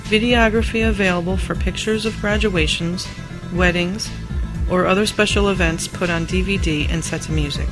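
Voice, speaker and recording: A woman acting a warm, teasing, supportive wife in an amateur radio drama, chatty and affectionate. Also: on the low side, fairly even intonation, normal speed, over music, natural voice. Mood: businesslike.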